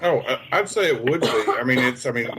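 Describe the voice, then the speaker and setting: A man's voice: medium pitch, lively intonation, a little fast, noisy background. A man co-hosting a casual podcast interview, speaking in an easygoing, agreeable way. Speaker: podcast co-host.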